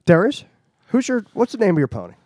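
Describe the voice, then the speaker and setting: A man's voice: high-pitched, huge pitch swings, fast talker, a little mellow, no room sound, studio quality. A man hosting a casual podcast, laughing often and teasing his guests. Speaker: podcast host.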